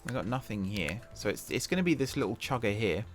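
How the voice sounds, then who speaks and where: Croaky man narrating gameplay. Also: on the low side, sing-song, brisk. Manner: chatty, thinking aloud, self-deprecating. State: croaky from talking all day. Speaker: gaming channel host. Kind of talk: casual gameplay commentary. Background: background music.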